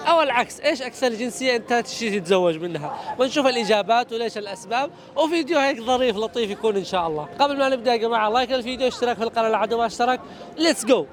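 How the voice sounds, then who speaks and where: Fast-talking high-voiced Yemeni man. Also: animated. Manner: rapid, eager, friendly questioning. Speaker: street interviewer and channel host. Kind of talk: fast upbeat street-interview chat. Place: city street outdoors.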